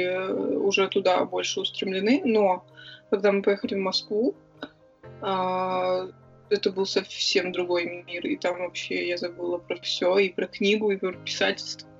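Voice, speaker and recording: A young woman answers interview questions in a chatty, rambling way, stopping often on filler sounds, her pitch sitting right in the middle. The recording is a little dull, with faint music under her voice. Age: young adult. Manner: chatty and quick, with many hesitation sounds. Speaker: interview guest, writer and club organizer. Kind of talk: casual conversational interview.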